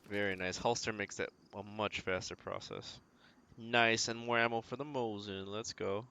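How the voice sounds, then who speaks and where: A man's voice: fairly low pitch, steady tone, moderate pace, pushed and bright, a little hiss or hum. A man giving casual video game commentary as a streamer, thinking aloud in short, slangy remarks.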